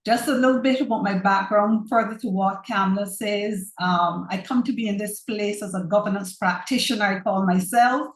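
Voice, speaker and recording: A woman with a Barbadian accent presents over a video call, speaking in an earnest, personal and measured way. Her voice sits in a middling register. The sound carries a noticeable roomy echo. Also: mid-range voice. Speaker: governance practitioner, presenter. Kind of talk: online presentation on corporate governance.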